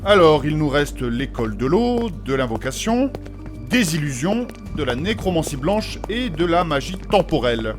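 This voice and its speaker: A man's voice: fairly high pitch, big pitch swings, moderate pace. A man playing a pedantic, formal scholar of magic who explains precisely and gets flustered easily in a comedic fantasy audio drama. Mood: cautious. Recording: music playing behind the voice. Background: music.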